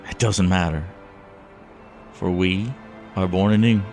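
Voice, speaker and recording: A man playing a fervent, fatalistic last survivor in a solemn, measured, theatrical audio drama monologue. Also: deep voice, fairly even intonation, a little mellow. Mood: grim reverence. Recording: scary music behind the voice.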